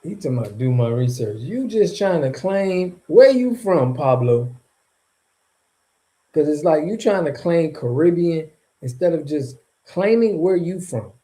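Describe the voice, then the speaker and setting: A man talks loosely to his chat in a livestream, animated and mocking, full of slang and quick laughs. His voice sits a little high for a man, with a soft, mellow tone. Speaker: streamer reacting to viewer chat. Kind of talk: casual livestream commentary.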